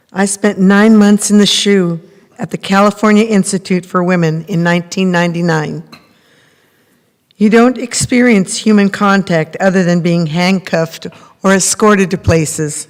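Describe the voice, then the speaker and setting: A middle-aged woman reads a first-person testimony very slowly. A long hall reverb surrounds her voice. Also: medium pitch. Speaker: reader in a readers theater.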